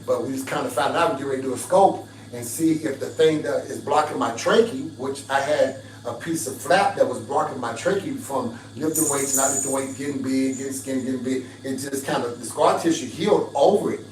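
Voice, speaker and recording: A raspy-voiced middle-aged man on a livestream, talking straight to his viewers in an emphatic, preachy way. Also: mid-range voice, fairly quick, hardly any pauses, recorded from a distance. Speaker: fitness livestreamer. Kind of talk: casual livestream pitch to viewers. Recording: roomy livestream audio from off-mic with faint hum.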